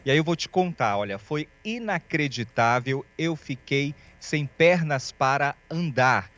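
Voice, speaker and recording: A man's voice: on the high side, animated, unhurried, a bit bright, dull audio. A young man reporting live for TV news, chatty and informal, with lots of fillers and small jokes. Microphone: handheld mic.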